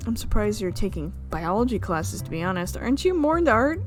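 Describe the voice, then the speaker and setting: A woman's voice: mid-range voice, sing-song, fairly quick, faint music behind, lightly acted. Woman as a reserved, reluctant female student. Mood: dry.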